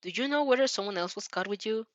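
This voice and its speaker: A woman's voice: medium pitch, lively intonation, fast talker, no echo, highs cut off, lightly acted. A woman voicing a stern, scolding male teacher hero in a story reading, curt in manner.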